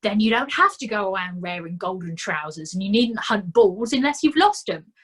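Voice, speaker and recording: A woman voicing a kindly, slow-witted male sergeant who fusses and cracks jokes. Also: medium pitch, lively intonation, close to an everyday voice. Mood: fussing.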